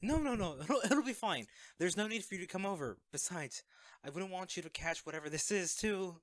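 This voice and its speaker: A man's voice: high-pitched, lively intonation, brisk, no echo. A man acting in an audio roleplay as an awkward, self-effacing secret superhero, talking hesitantly and evasively to a best friend. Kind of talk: audio roleplay drama, one-sided conversation.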